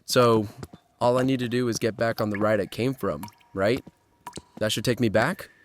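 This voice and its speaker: A man's voice: lowish voice, fairly even intonation, moderate pace, slight background noise, lightly acted. An actor playing a bewildered, earnest young man trapped in a nightmare world, speaking and narrating in a horror audio drama. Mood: anxious questioning. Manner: uneasy, questioning, earnest.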